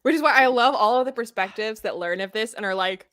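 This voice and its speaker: A woman's voice: fairly high pitch, quick pace, fairly bright tone. A woman co-hosting a casual book discussion, acting out imagined quotes as she goes. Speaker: co-host of a book readalong live chat.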